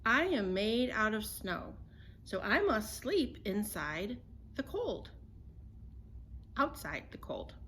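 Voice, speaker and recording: Woman voicing a gentle, soft-spoken storybook snow bear. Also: fairly high pitch, big pitch swings, slow, pauses often, a little hiss or hum, full character voice. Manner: soft, patient, reassuring. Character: gentle male snow bear, tender loyal friend.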